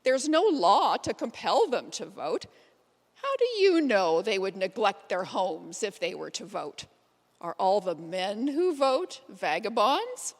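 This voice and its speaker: Woman acting as a plain-spoken suffragist, measured first-person storytelling, stage reading, medium pitch, long hall reverb. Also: big pitch swings, exaggerated voice. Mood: pointed, defiant. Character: elderly pioneer suffragist, determined and plain-spoken, recounting her life. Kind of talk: readers theater first-person monologue.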